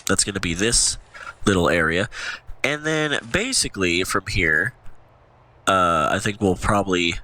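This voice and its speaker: A man's voice: deep voice, lively intonation, a little slow, pushed and bright. A man with a stuffy nose from allergies, casually commentating his gameplay as a channel host, rambling and thinking aloud.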